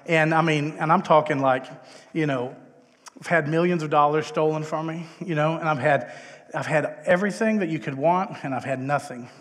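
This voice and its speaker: A man's voice: on the high side, moderate pace, fairly bright tone. A middle-aged man with a Texan accent giving an informal, self-deprecating chapel talk as a conversational guest speaker. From Texas, United States. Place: large indoor chapel, live talk. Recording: echoey hall with long reverb.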